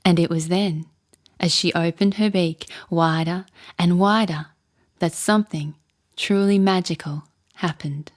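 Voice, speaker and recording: A woman narrating a children's bedtime story in an expressive, measured storytelling manner. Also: lowish voice.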